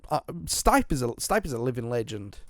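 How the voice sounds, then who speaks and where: A man's voice: medium pitch, very animated, moderate pace, no room sound, clean studio sound. A man hosting a podcast, chatting casually about music in a rambling way with lots of restarts. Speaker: podcast host.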